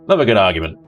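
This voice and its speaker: A man hosting a livestream, chatting casually and jokily while playing a game. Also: a little high. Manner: chatty, jokey, drifts into mock voices and laughter. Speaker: livestream host. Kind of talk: casual chat while gaming.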